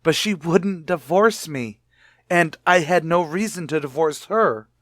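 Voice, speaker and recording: An actor playing a cornered husband with a false identity in a radio drama, earnest and self-justifying. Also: male voice, fairly high pitch, animated, unhurried, a bit bright, dry recording, studio quality. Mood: desperate, pleading. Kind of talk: old-time radio drama acting.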